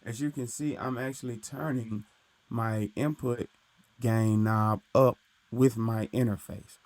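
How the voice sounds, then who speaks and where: A young man with a deep voice casually explaining recording gear, talking into his studio mic and tagging his points with "right?". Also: fairly even intonation, unhurried, darkish tone, dry recording. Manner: explains loosely with frequent "right?" and "uh". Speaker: recording engineer teaching. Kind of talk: casual tutorial walkthrough. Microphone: studio microphone through his interface.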